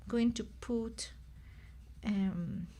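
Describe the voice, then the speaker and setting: A woman's voice: on the high side, extremely slow, mellow. Greek-accented woman host, native Greek speaker, loose live-stream chat that wanders and self-corrects. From Greece. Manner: rambling, self-correcting, thinking aloud.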